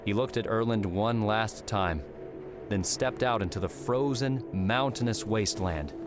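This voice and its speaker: A man's voice: on the low side, a little slow. A man reading scripted story narration for an audiobook. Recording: music behind the voice.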